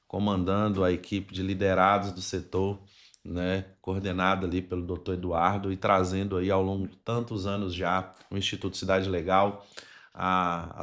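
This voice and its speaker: A Brazilian man hosts a podcast in a deep voice. He speaks in formal, wordy sentences, setting things out as explanatory monologue. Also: a bit bright. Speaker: podcast host.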